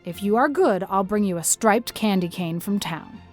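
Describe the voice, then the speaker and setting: A woman's voice: mid-range voice, lively intonation, normal speed, soft background music, close to an everyday voice. A woman playing a strict, practical older farm guardian in an audiobook, stern and matter-of-fact as she admonishes. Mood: firm. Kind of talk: audiobook character acting.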